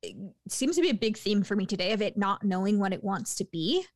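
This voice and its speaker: A woman in a casual movie review chat, talking with lots of fillers and quick laughs. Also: mid-range voice, big pitch swings, no room sound, clean studio sound. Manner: chatty, laughs often, many fillers.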